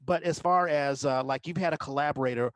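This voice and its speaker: A man's voice: on the high side, a little fast, dry recording. A middle-aged man hosting a podcast in casual conversation, building his questions up gradually in a rambling way. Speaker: podcast host.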